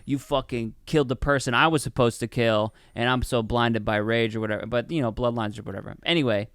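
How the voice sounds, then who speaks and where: A man co-hosting a podcast, chatting casually in an excitable, rambling way with plenty of swearing. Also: mid-range voice, a little fast, clean tone, no echo, clean studio sound. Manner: excitable, profane, rambling enthusiast. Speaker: podcast co-host reviewing a film. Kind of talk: casual chat.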